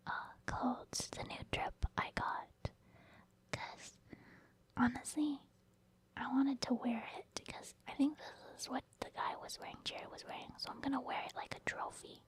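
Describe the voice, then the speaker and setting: A woman giving casual, rambling ASMR commentary on her video game play in a breathy whisper, explaining her thought process. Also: a little high, steady tone, moderate pace. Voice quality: breathy, mostly unvoiced whisper. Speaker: gaming ASMR creator.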